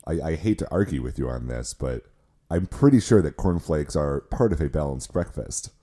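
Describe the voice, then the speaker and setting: Hoarse man with a very deep voice. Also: animated, moderate pace, warm tone. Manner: rambling, self-mocking, many restarts. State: hoarse from illness, voice cracks. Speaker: podcast co-host. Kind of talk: casual chat.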